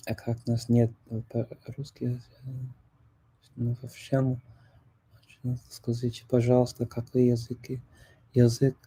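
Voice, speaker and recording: Host voice: native English-speaking man, very dark and mellow tone, flat delivery, halting as he hunts for words. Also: mid-range voice, rough recording. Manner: halting, often pausing to search for words. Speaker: host of a discussion on multilingual events. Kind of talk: casual live group chat.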